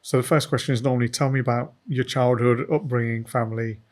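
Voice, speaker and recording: A man hosting a casual podcast interview. He is jokey and informal, filling pauses with ums, and has a middle-pitched voice. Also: fairly even intonation. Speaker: podcast host.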